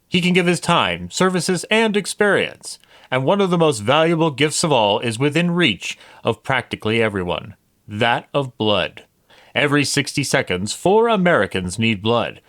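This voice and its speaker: A man's voice: medium pitch, fairly bright tone. Man as formal 1950s radio announcer over music. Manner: formal, dramatic, measured. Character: earnest old-time network radio announcer. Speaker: announcer and narrator.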